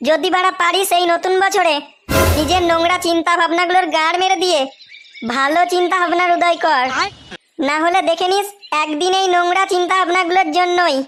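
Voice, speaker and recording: A brash, crude young cartoon man acted out by a man with a very high, pitched-up voice. He mocks and scolds his friends in comic dialogue, with prominent music behind him. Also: steady tone, brisk, sharp tone. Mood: scolding. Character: foul-mouthed, mocking young man among idle friends.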